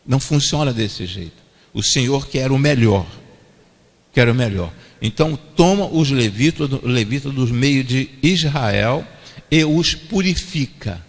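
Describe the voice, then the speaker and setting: A man's voice: mid-range voice, animated, measured pace. A man with a Brazilian accent preaching a verse-by-verse sermon, repeating each point twice for emphasis. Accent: Brazilian Portuguese. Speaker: pastor. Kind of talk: expository sermon. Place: church sanctuary. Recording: long church-hall reverb.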